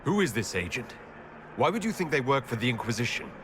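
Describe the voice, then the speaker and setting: A man's voice: medium pitch, sing-song, fairly quick, background noise, close to an everyday voice. An actor playing a self-assured male elf hero in a fantasy game, with a dry, probing delivery. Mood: challenging. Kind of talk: dramatic video game acting.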